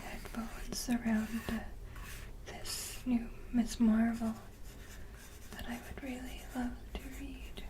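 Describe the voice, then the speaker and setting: A woman doing ASMR, reading and commenting in a soft, breathy whisper. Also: fairly high pitch, flat delivery, slow pace. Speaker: ASMR video creator.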